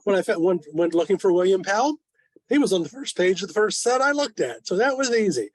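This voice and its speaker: Wry older man, higher-pitched voice. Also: sing-song, fast, no echo. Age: 70s. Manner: wry, self-deprecating. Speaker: club presenter. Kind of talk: informal club presentation with questions. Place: online video meeting. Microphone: video call.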